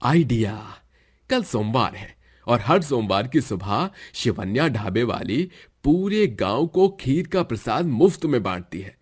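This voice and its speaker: A man's voice: mid-range voice, sing-song, a little slow, breathy edge. A man voicing a cruel, greedy, scheming villain in a gloating manner for a cartoon moral story.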